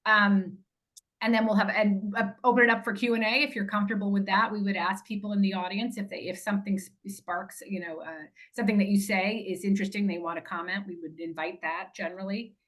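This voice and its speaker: A woman's voice: medium pitch, steady tone, a little fast, fairly bright tone. A woman hosting a planning meeting over a video call, talking in a chatty way with restarts and fillers. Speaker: event organizer.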